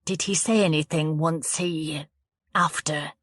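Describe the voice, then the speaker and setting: A woman reading a gentle, steady female detective. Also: low voice, a little slow, no room sound, studio quality. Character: calm, caring female police detective.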